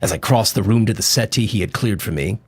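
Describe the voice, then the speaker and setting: A man's voice: fairly low pitch, fast, breathy edge, no echo. An actor playing a sombre man who narrates a weird horror tale in the first person for radio drama. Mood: sombre, uneasy.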